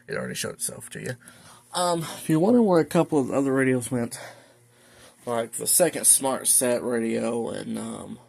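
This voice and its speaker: A man's voice: mid-range voice, very animated, normal speed, gravelly, a little mellow, breathy edge. A man giving a casual, rambling, matter-of-fact show-and-tell as a hobbyist collector at home. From United States. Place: indoors at home.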